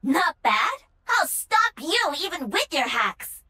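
An actress playing a cocky, playful young Korean mech pilot and gamer, cheeky and teasing. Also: female voice, sits high, sing-song, slow, pushed and bright, exaggerated voice. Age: young adult.

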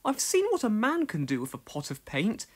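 A woman's voice: medium pitch, huge pitch swings, husky, voice pushed up. A woman voicing a fussy, flustered older village lady who chatters primly and frets easily. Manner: prim, chattering, quick to fret.

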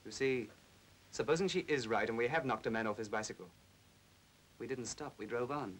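An actor playing a smooth, manipulative upper-class English captain in his thirties in a 1940s British film drama. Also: mid-range voice, a little fast, fairly bright tone, close to an everyday voice. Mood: persuasive. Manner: suave, persuasive. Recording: hissy old film soundtrack.

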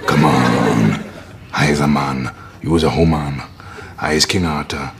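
An actor playing a lecherous, smooth-talking churchgoer who flirts with pompous innuendo. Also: male voice, a bass voice, measured pace, very noisy, exaggerated voice. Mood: leering.

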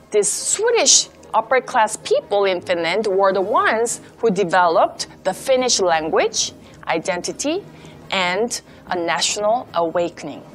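A young woman delivers a prepared lecture, reading from her notes at a measured, deliberate pace. Her voice sits a little high. Faint music plays softly under her words. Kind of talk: scripted lecture presentation. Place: studio with projected backdrop.

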